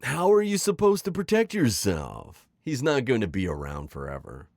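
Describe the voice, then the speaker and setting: A man's voice: medium pitch, huge pitch swings, normal speed, gravelly, darkish tone, dry recording. A man playing a sly, teasing, middle-aged retired magical villain in an ASMR roleplay, theatrical and coaxing in his delivery. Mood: coaxing, disapproving. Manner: theatrical, teasing, manipulative coaxing with sighs and chuckles. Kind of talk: scripted ASMR roleplay acting.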